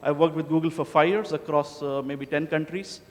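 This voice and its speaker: Man from India, slightly high voice, giving a loose, joking conference talk with lots of "uh"s; hall echo. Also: moderate pace. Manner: casual, joking, many filler "uh"s. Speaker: conference speaker. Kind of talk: conference presentation. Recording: hall reverb.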